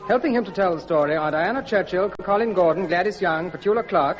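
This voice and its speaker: Male announcer, formal vintage introduction, high pitch, dull archive sound. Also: fast talker. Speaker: radio announcer. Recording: muffled old broadcast with music.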